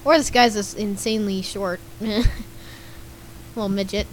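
A woman's voice: mid-range voice, fairly even intonation, a little slow. A young woman with a slightly scratchy voice giving casual, giggly commentary over gameplay and reacting to what she reads on screen. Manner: chatty, giggly, reads game chat aloud and reacts. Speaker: gaming YouTuber.

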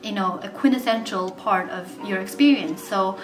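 A woman's voice: mid-range voice, moderate pace. A woman in her twenties, not a native English speaker, talking frankly and casually to the camera as a student vlogger in her bedroom. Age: 20s. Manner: chatty, frank, many fillers. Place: bedroom, indoors. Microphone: camera in front at desk distance. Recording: roomy bedroom with music behind.

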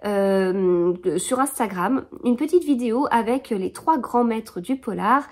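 A woman's voice: on the high side, a little slow. A woman in her thirties hosting a book podcast, chatting casually and rambling with many fillers and restarts, recorded at home in front of a bookshelf. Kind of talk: casual chat about books. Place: living room in front of a bookshelf.

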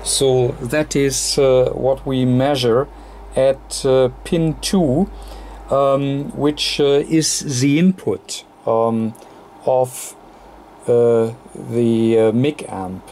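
Very slow, halting man giving a tutorial. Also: medium pitch, fairly even intonation, darkish tone, a little hiss or hum. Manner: halting, frequent hesitations mid-phrase. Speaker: electronics tutorial host. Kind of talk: unscripted technical demonstration. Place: indoor electronics workbench.

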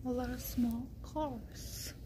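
A woman talks slowly through a casual walkthrough in a high voice. She drops short, simple exclamations as she goes, recorded on a handheld phone indoors with a faint hiss underneath. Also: steady tone. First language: Korean. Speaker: travel vlogger. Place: vehicle museum indoors.

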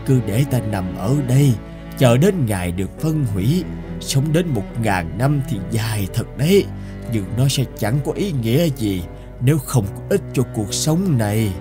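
An actor playing a thousand-year-old plastic bag, a gentle, regretful grandfatherly figure who speaks wistfully in a cartoon. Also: medium pitch, lively intonation, unhurried, over music, lightly acted. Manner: wistful, philosophical, addressing youngsters tenderly. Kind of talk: scripted cartoon dialogue.

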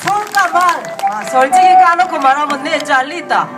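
A performer playing a boastful, brash old grandmother with a Gyeongsang accent, loud and rough-spoken as she teases a rally crowd. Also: extremely high pitch, lively intonation, moderate pace. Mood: brash teasing. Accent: Gyeongsang dialect. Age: older. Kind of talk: comic character act at a rally. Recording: distant PA sound with loud crowd and noise.

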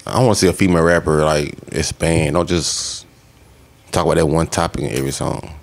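A man's voice: extremely low pitch, fairly even intonation, fairly quick. A man co-hosting a podcast, chatting casually with slang and swearing into a studio microphone. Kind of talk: casual chat, slangy and profane. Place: small podcast studio with foam panels.

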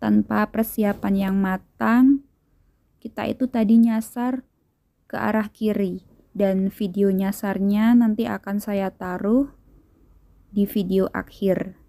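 A woman's voice: medium pitch, steady tone, slow, creaky, long pauses, warm tone, no echo. A woman narrating a hiking vlog. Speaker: vlogger.

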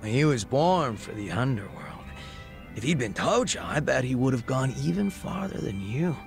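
A man voicing a laid-back, wistful gang leader in his thirties in a video game, reflective, unhurried and casually profane, with a gravelly voice. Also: mid-range voice, big pitch swings, music in the background. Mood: matter-of-fact. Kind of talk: video game cutscene dialogue acting.

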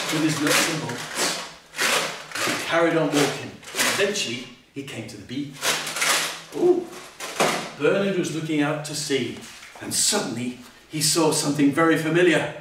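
An older man reading a children's storybook aloud theatrically, with exclamations and playful asides. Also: a little high. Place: indoor curtained stage. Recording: echoey hall, distant mic, faint noise.

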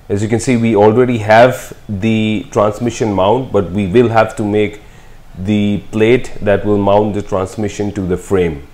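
A man from India talks slowly and steadily through his car project. His voice sits on the low side, and he explains things plainly with the odd filler. Parts of it sound like a phone recording in a garage workshop. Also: darkish tone. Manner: slow, matter-of-fact walkthrough with fillers. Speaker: car build vlogger. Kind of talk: casual explanatory voice-over and on-site commentary.

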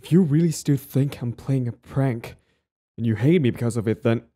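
A man's voice: mid-range voice, moderate pace, dark tone, breathy edge, lightly acted. A man playing a lovestruck, clingy young bully in intimate romance roleplay audio, stammering, sighing and pleading. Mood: flustered, startled.

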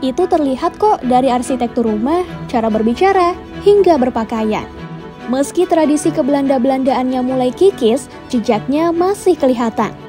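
A woman giving scripted, measured documentary narration as a voice-over. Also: sits high, lively intonation. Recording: voice-over with background music.

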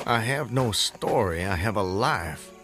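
An actor in a radio drama playing a proud, guarded Southern gentleman planter of the Civil War era, speaking formally. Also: fairly low pitch, expressive, faint music behind. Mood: wary. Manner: formal, measured, reserved way of talking.

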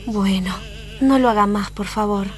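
An actress playing an anxious, secretive young sweetheart in a tango radio play, pleading dramatically with an Argentine accent. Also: female voice, mid-range voice, normal speed, lightly acted. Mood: nervous. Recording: music behind the voice.

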